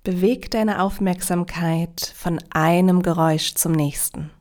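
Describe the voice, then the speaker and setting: A woman guides a deep relaxation meditation. Her voice sits a little low and she speaks very slowly. She sounds calm and soothing, leaving long, measured pauses between phrases. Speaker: yoga nidra guide.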